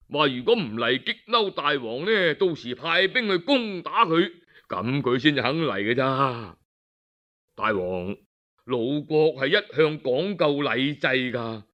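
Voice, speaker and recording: A man with a Cantonese accent voicing a cautious, deferential chief minister in a story, speaking in a careful, respectful low voice. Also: dull audio. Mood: cautioning.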